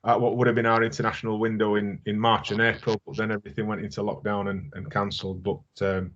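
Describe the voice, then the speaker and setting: A man's voice: fairly low pitch, fairly even intonation, fairly bright tone. A man, a rugby coach, recounting his career plainly with some restarts on a video call. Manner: matter-of-fact, halting with restarts. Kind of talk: casual video call interview. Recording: dull lo-fi call audio.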